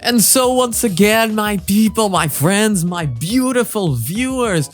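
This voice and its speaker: Male reaction host, casual and flowery, self-deprecating, voice a touch high, light music underneath. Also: expressive, takes it slowly. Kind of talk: casual, rambling intro and reaction commentary.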